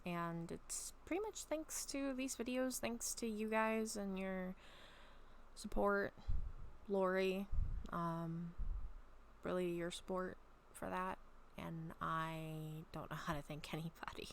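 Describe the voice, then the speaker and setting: A woman chatting casually and reading her own story aloud at home, a little raspy from a long talking session, rambling with many soft ums. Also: on the low side, takes it slowly, a little hiss or hum. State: slightly raspy throat. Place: at home, bedroom.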